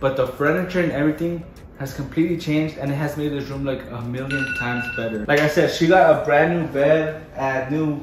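A man's voice: a little high, a little fast, noisy background. Young guy w doing a casual house tour, bit far from the mic in echoey rooms, music behind. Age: 20s. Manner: mixes Spanish words into his English. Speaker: vlogger. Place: indoors, small bathrooms and bedrooms of a house. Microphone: handheld camera or phone held by another person, a few feet away. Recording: roomy echo, voice away from the mic.

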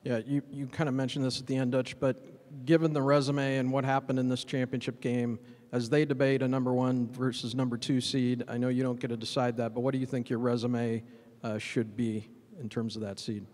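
A man's voice: medium pitch, flat delivery, brisk, a little mellow. A man, a reporter, asking a casual question at a press conference, addressing the coach familiarly. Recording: long hall reverb.

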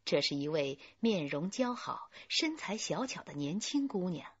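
A woman's voice: lowish voice, sing-song, unhurried, breathy edge, no echo. A woman giving scripted narration for a radio drama. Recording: muffled band-limited dry studio audio.

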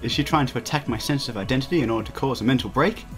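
A man's voice: mid-range voice, very fast, over music. A man hosting a let's play, reading a visual novel aloud with playful banter and often stumbling over the text.

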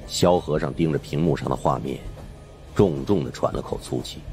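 A man's voice: extremely low pitch, normal speed, darkish tone, faint music behind. A man narrating a supernatural suspense audiobook in a dramatic storytelling style.

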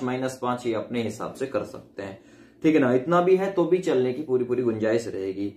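A man's voice: on the high side, darkish tone. A man, explaining to camera in an emphatic, conversational way, like an informal coaching teacher. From India. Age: 20s. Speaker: exam coaching teacher and presenter. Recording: echoey room sound.

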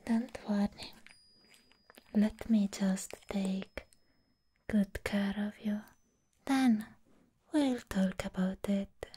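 A young woman performing a hushed, whispered ASMR roleplay as a sweetly possessive living doll, pausing deliberately, close to the microphone. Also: medium pitch, fairly even intonation. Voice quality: breathy whisper. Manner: slow, hushed, deliberate, with long pauses.

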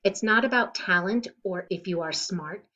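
A woman's voice: mid-range voice, moderate pace, sharp tone. Middle-aged woman reading aloud, dull lo-fi sound. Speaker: teacher reading a picture book aloud. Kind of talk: read-aloud storytelling of rhyming children's book. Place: living room at home, indoors.

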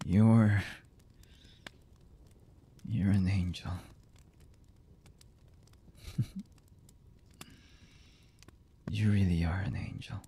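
A man voicing a brooding, lovesick young anime villain in an intimate ASMR roleplay, husky and breathy with halting, hesitant delivery that often sinks toward a whisper. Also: deep voice, fairly even intonation, dark tone, exaggerated voice. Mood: haunted, awed.

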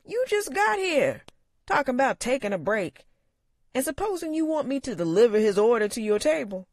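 A narrator voicing a gruff older male bartender with a raspy, growling voice and a folksy drawl. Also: mid-range voice, big pitch swings, normal speed, no room sound, voice pushed up. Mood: grumbling. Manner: folksy drawl, loud and blustery.

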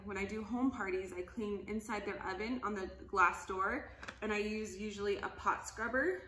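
Bright, chatty woman demoing cleaning cloths. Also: medium pitch, echoey room, a little hiss or hum. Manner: chatty, enthusiastic, laughs often. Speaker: cleaning products seller. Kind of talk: casual product demo. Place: living room, indoors, at a table.

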